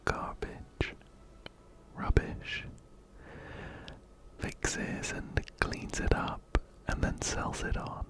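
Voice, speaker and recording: A man narrating a video game in a slow, breathy ASMR whisper, very close to a binaural mic, softly repeating words. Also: poor audio. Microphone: close-up binaural microphone, ear to ear.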